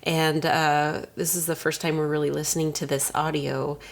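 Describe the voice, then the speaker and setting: Woman, podcast co-host, informal chat, fairly low pitch, creaky edges. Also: flat delivery, moderate pace. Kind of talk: casual chat.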